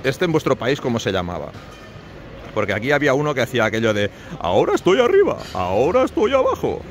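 A man's voice: medium pitch, wildly swooping pitch, fast talker. A man in his forties from Spain, chatting casually to camera as he shows items at a covered flea market, often asking his viewers questions. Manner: chatty, asks viewers questions, jokes about his English. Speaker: vlogger, flea market dealer. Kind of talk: casual vlog chat to camera. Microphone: phone at arm's length. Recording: noisy open-air market background.

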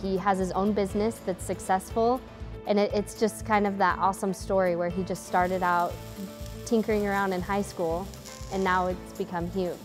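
A woman's voice: mid-range voice, little pitch movement, normal speed, music in the background. Enthusiastic woman welding instructor. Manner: earnest, enthusiastic. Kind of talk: documentary interview. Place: indoor welding classroom.

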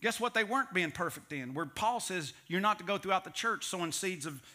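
A middle-aged male pastor preaching a sermon on a church stage, animated, swinging between loud emphasis and hushed asides. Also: high-pitched, a little fast.

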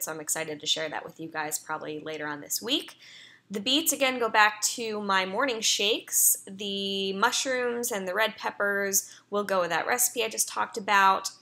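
A woman's voice: medium pitch, pushed and bright. A young woman, a personal trainer, chatting casually in a voice-over as she walks through her online grocery order, with an upbeat manner and frequent ums and uhs.

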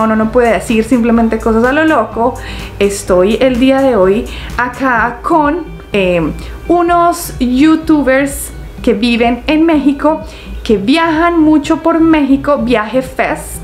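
A young Colombian woman with a Santander accent, hosting a casual reaction video from her living room and talking in a chatty, animated way with frequent laughs. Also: on the high side. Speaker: YouTube reactor. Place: living room, indoors, on a sofa.

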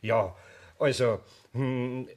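An actor playing a good-natured, patient older carpenter with a Bavarian accent in a German children's radio play, folksy and conversational. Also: male voice, medium pitch, steady tone, extremely slow. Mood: earnest.

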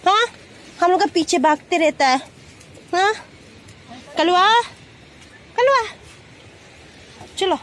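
A young woman chats through her vlog in a high voice, taking her time over each phrase. She films on a handheld phone while walking a rocky riverbank outdoors, tossing English words into her talk. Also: animated, takes it slowly, long pauses, fairly bright tone, slight background noise. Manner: chatty, mixes in English words. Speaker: vlogger. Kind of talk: casual vlog narration. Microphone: handheld phone camera.

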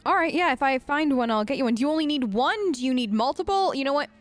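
A woman's voice: sits high, expressive, fast. A woman role-playing casually through a video game, chatty, earnest and informal. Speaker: let's play host role-playing as an elf character. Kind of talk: casual improvised role-play game commentary.

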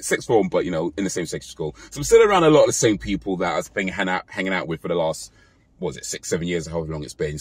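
A British man in his thirties talking casually to his phone in a parked car, relaxed and rambling with fillers and self-corrections. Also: low-pitched, slightly rough, dry recording. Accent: British English. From Britain. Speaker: vlogger. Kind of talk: casual talk to camera. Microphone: phone camera held close.

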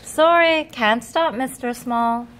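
A woman reading a picture book as an eager, hurried little boy reciting his errand list urgently. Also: on the high side, a bit bright. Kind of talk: children's picture book read-aloud.

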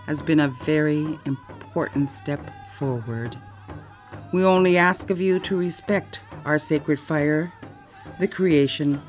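A woman with a low, grounded voice reads a formal statement in a measured, dignified way. Her phrasing is slow and deliberate. Soft instrumental music plays beneath her. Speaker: voice actor reading a historic acknowledgement. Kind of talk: solemn read statement.

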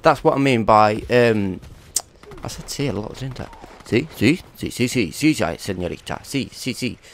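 Stuffy-nosed gamer lad rambling. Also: male voice, mid-range voice, expressive, normal speed, a little hiss or hum. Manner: rambling, chatty, swears at opponents. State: congested with a cold. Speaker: gaming YouTuber. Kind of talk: casual gameplay commentary.

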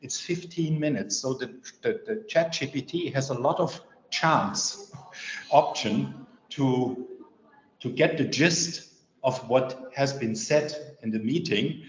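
A man's voice: medium pitch, slow pace. An older man talking on a video call from home, thinking aloud with many fillers and gesturing as he talks. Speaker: meeting participant. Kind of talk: casual discussion on a video call. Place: home room indoors. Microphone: webcam or laptop mic on a video call. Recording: roomy, dull video call audio.

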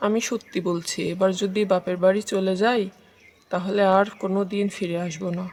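A woman playing a fed-up, nagging village wife in an animated folk tale. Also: medium pitch, a little fast, natural voice. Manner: scolding, nagging.

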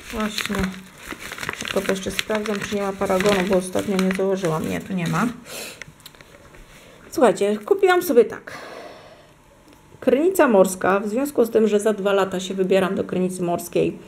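A woman's voice: medium pitch, darkish tone. A middle-aged woman talking casually to her viewers at home, rambling and correcting herself as she shows souvenirs. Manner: rambling, self-correcting, addresses viewers directly. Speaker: vlogger showing her fridge magnets. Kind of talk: casual chatty unboxing vlog. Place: at home, indoors at a table.

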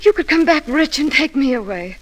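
A woman's voice: high-pitched, lively intonation, brisk, fairly bright tone. A woman playing a proud, wilful, ambitious young woman in a 1940s radio drama. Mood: urgent, reproachful.

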